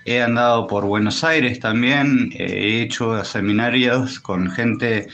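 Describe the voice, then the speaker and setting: A man with an Argentine Spanish accent answering interview questions in a thoughtful, hesitant way with many pauses. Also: medium pitch, fairly bright tone, dull audio, a little hiss or hum. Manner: hesitant, thoughtful, pausing often. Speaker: interview guest, tattoo artist.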